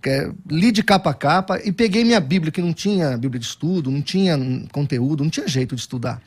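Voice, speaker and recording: A middle-aged man speaks as a guest pastor. His voice sits somewhat high and he tells his anecdotes with energy, often restarting his sentences. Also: quick pace, husky. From Brazil. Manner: animated, self-deprecating anecdotes with frequent restarts. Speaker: Baptist pastor. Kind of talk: storytelling interview answers.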